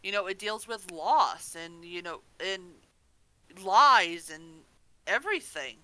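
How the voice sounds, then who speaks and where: Bright, animated woman podcaster. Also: medium pitch, slow, no echo, poor audio. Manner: emphatic, ranting, freely swearing. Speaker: podcast co-host. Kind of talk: opinionated casual podcast discussion.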